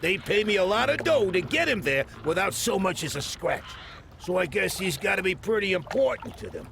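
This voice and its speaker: A man's voice: sits high, big pitch swings, brisk, vocal fry, background noise, full character voice. A man playing a wisecracking, gritty mob enforcer in a video game, with a hard-boiled delivery. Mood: cocky, irritated. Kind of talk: hard-boiled narration and game cutscene dialogue.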